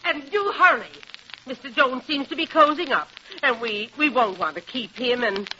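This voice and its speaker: A prim, genteel lady in an old radio comedy, with a high, bright voice. She is fluttery, formal and earnestly naive, on a muffled recording. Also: moderate pace, slight background noise. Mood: earnest, puzzled. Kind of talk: vintage radio sitcom acting.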